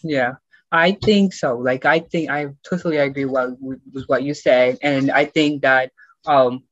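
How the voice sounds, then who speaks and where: A man's voice: mid-range voice, fairly even intonation, a little slow, a bit bright, dry recording, lo-fi sound. A teenage young man hosting a casual podcast chat in an informal, eager way. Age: teens. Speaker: podcast host.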